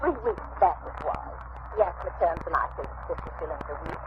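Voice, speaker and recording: A woman's voice: low voice, animated, a little fast. An actress playing an anxious, deferential working-class landlady who talks fretfully in a 1940s radio drama. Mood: anxious, explaining. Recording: tinny, noisy vintage radio recording.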